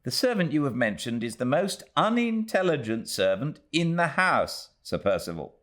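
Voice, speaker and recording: Man voicing a prim British housekeeper, pitched up. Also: sing-song, normal speed, a bit bright. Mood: polite objection. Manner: formal, deferential yet stiff. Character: prim, dignified, easily affronted Victorian woman housekeeper. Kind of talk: audiobook character voice.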